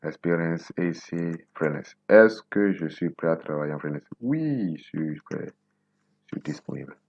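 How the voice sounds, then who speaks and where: A man in his twenties from Benin, whose first language is Nago, hosting a coding tutorial and thinking aloud slowly as he types, repeating words to himself. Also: low voice, expressive, darkish tone, no room sound, dull audio. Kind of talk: casual screen-recorded coding tutorial.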